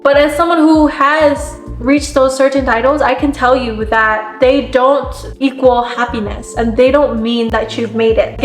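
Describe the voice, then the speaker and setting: A young woman giving a casual motivational talk straight to her viewers, earnest and full of "like" fillers, her voice a bit worn and sniffly. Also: high-pitched. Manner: earnest, frequent "like" fillers, direct address. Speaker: self-help content creator. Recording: echoey room with faint music.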